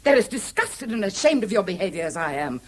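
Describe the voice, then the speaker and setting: Husky, scornful English wife. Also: female voice, medium pitch, very animated, a little fast. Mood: bitter, accusing. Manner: biting, sarcastic, emphatic. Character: scornful, indignant wife berating her husband. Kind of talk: 1970s British radio drama acting.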